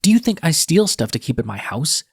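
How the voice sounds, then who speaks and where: A man's voice: fairly low pitch, normal speed, darkish tone, husky, no room sound, studio quality. A man narrating an audiobook in the first person as a defiant, bitter young male nurse, with a sardonic, edgy manner. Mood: tense, defiant.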